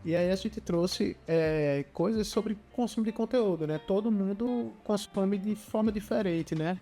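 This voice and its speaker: Podcast host, mid pitch, dark mellow tone, steady and measured, faint music behind. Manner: measured, with frequent tag questions. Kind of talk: casual interview conversation.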